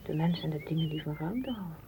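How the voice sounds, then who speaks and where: An actress playing a solitary, dreamy woman in a radio drama, speaking in a hushed, poetic way. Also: deep voice, lively intonation, fast talker, vocal fry, a little hiss or hum, poor audio. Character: solitary, dreamy, independent woman longing for open space. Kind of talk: literary radio drama acting.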